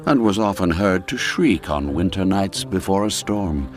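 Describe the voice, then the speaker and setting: A man reading a classic folk mystery tale as a storyteller, in a measured and expressive way. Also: deep voice, over music. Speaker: story narrator.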